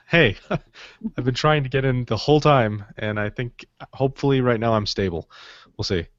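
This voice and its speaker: Halting male podcast co-host on a call. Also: mid-range voice, no echo, muffled recording. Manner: chatty and halting, with restarts and laughs. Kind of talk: casual interview questions. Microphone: video call.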